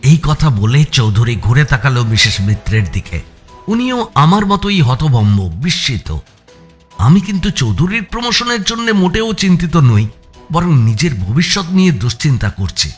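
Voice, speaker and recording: A man's voice: a little high, big pitch swings, moderate pace, breathy edge, soft background music. A man with an Indian Bengali accent giving a dramatic first-person audiobook narration of a story.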